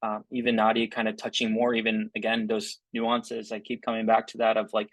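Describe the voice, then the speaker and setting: A man's voice: lowish voice, monotone, brisk, no echo. A man moderating a panel discussion, thanking panelists and asking questions with many fillers.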